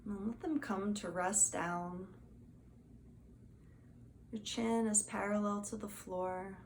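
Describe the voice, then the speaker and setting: Woman, meditation teacher, very unhurried pace, soft and soothing, creaky edges, faint background noise. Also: medium pitch, steady tone. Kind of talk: guided meditation instruction.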